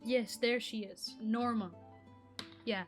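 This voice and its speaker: A fairly high-voiced woman whose first language is Arabic rants casually to her viewers. She rambles and sounds exasperated, cutting herself off and restarting her sentences. Soft music plays quietly underneath. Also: fairly even intonation, takes it slowly. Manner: rambling, exasperated, keeps breaking off mid-sentence. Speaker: gaming vlogger. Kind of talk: casual rant to camera.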